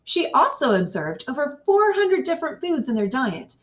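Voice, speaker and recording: A woman reads an educational nonfiction text aloud as a scripted narration, with careful, clearly phrased delivery. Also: high voice, very animated, normal speed. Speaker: reader. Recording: distant microphone.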